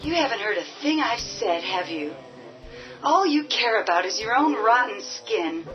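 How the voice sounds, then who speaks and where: A woman's voice: on the high side, lively intonation, a little slow. An actress playing a worn-out mother in her thirties, scornful toward her husband, in a radio drama. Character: weary long-suffering mother in her thirties. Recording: dull lo-fi audio with music.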